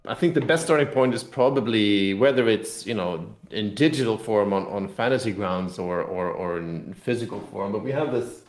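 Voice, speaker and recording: Low-voiced man in an echoey room. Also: normal speed, hardly any pauses. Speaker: game designer being interviewed. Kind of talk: casual interview talk. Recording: roomy, echoing room sound.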